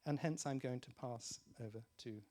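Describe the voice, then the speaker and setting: A man delivering a prepared opening speech at a conference, with measured, rhetorical and deliberate phrasing. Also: medium pitch, warm tone. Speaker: academic host introducing a conference.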